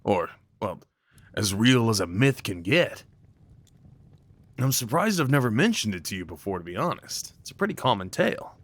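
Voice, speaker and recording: A man's voice: on the low side, sing-song, moderate pace, fairly bright tone. A man playing an affectionate, playful boyfriend in an intimate ASMR roleplay, talking tenderly and rambling with light teasing. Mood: protesting, playful. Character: affectionate, playful, crafty boyfriend cuddling his partner.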